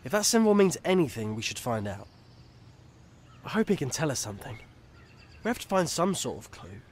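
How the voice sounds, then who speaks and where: A man acting as a cheeky teen wizard in a game. His pitch moves animatedly as he talks bluntly, with faint noise behind him. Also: very animated, a little fast, natural voice. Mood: pondering. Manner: blunt, colloquial. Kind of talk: video game dialogue.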